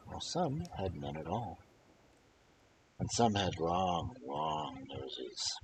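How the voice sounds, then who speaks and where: A man reading folktales aloud as host, in an expressive way with dramatic pauses and casual asides. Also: low-pitched, a little hiss or hum, poor audio. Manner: animated, dramatic pauses and emphasis. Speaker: storyteller and host of a live reading stream.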